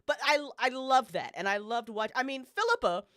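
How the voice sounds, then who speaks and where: A woman's voice: fairly high pitch, lively intonation, normal speed, fairly bright tone, no room sound, studio quality. A woman on a podcast panel, chatting casually and opinionatedly as a fan, with emphatic, slangy, swear-heavy delivery.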